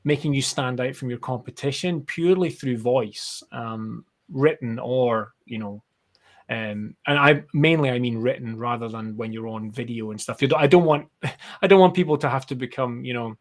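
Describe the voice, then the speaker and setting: A man's voice: mid-range voice, normal speed. Scottish man chatting and explaining casually. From Scotland. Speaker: guest, brand strategist and designer. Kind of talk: casual live interview chat.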